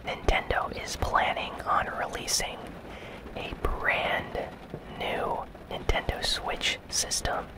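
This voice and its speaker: This is an ASMR gaming news host who speaks entirely in a soft whisper. The delivery is breathy and casual, moving along in an unhurried, conversational way with very little voiced tone. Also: low-quality recording.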